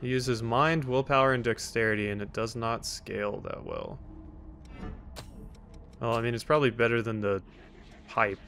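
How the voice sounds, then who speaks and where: A man's voice: mid-range voice, fairly even intonation, normal speed, long pauses, a bit bright, faint music behind. Wry man commentating over a video game. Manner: wry, teasing, talking back to game characters; reads on-screen text aloud. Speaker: let's play host. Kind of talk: casual gameplay commentary. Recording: faint background game noise. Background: video game sounds.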